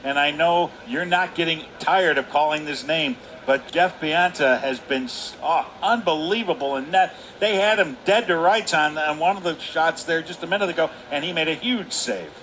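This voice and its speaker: Bright, forceful male hockey colour commentator. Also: a little high, moderate pace, few pauses. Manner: conversational, explanatory. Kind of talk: live sports analysis. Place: indoor ice hockey arena. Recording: faint arena noise behind the voice.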